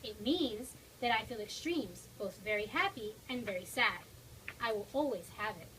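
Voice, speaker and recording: A woman voices a troubled teenage girl, gently reassuring a younger child in a storybook drama. The recording is distant, with faint noise around the voice. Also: medium pitch, expressive, moderate pace, natural voice. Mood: calm, reassuring. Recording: distant mic, noisy room.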